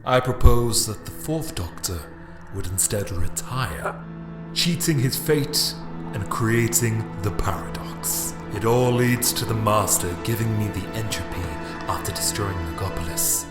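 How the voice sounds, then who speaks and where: A man's voice: fairly low pitch, unhurried, lightly acted. A man playing a scheming, grandiose emperor hungry for power, speaking in pompous, menacing monologue in an audio drama. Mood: scheming, triumphant. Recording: echoing voice under loud music. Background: music.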